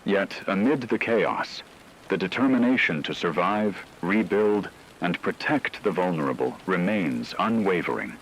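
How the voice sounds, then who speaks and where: A low-pitched, grave male news anchor voiced by a man delivers a scripted wartime radio bulletin. He speaks in measured, formal phrases, with steady noise of rain and rumble behind him. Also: heavily acted, rough recording. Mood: solemn. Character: solemn male radio anchor reporting a world war.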